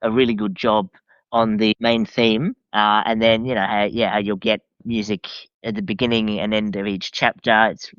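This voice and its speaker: A man with a low, smooth voice talks casually as a podcast guest. His delivery is halting and full of restarts. The recording is dry and a little dull. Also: little pitch movement, a bit bright, no echo. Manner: hesitant, halting, often restarting phrases. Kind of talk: casual conversational review.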